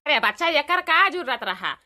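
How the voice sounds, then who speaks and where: A woman's voice: high-pitched, very animated, moderate pace, sharp tone, heavily acted. A woman voicing a bossy but kindly old village mother-in-law in a cartoon, with an eastern Uttar Pradesh accent and a repetitive, instructing manner. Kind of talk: cartoon dialogue.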